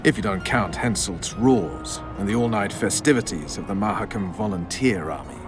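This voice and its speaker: An actor voicing a world-weary, proud military commander in a video game, brooding, dry and measured. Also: male voice, fairly low pitch, lively intonation, natural voice. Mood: sardonic. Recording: music behind the voice.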